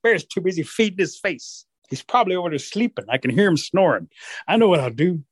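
Man voicing a cheeky, high-pitched little trickster rabbit. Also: brisk, breathy edge, no room sound, studio quality, full character voice. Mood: sulky, scheming. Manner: rude, wheedling, excitable. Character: small cheeky trickster rabbit, pleading and boastful.

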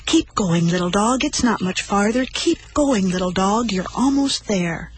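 A woman voicing a gentle talking moon that encourages a tired little dog in a storybook reading. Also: mid-range voice, expressive, unhurried, slight background noise, close to an everyday voice. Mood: encouraging.

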